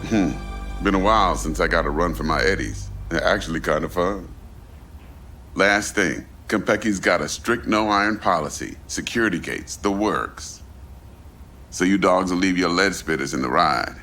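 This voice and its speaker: A very deep-voiced crime fixer from a video game. He sounds gravelly and calmly bossy, handing down streetwise terms like pronouncements. He is a man used to having the last word. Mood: smug, instructing. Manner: slow, pronouncing, streetwise slang and aphorisms. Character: big shady older fixer, calm, bossy crime middleman.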